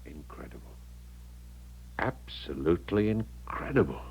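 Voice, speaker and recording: A proud, earnest husband and father in a vintage radio play. His voice is extremely deep. The old broadcast recording sounds somewhat muffled. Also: big pitch swings, a little slow, gravelly, a little mellow, husky, full character voice. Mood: moved, proud. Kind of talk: 1970s radio drama acting.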